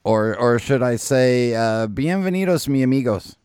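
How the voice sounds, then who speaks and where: A man's voice: medium pitch, lively intonation, normal speed, darkish tone, clean tone, no echo, clean studio sound. A man from the southern United States hosting a podcast, joking and laughing easily as he chats with guests. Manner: joking, self-deprecating, laughs often. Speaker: podcast host. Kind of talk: casual interview chat.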